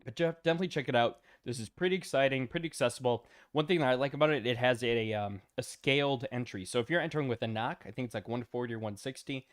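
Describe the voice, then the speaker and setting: Male stream host, worn out and going hoarse, easygoing rambling chat. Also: mid-range voice, fast, hardly any pauses. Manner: loose and rambling, many ums and uhs, greets chat by name. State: tired and losing his voice. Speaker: livestream host. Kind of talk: casual livestream chat.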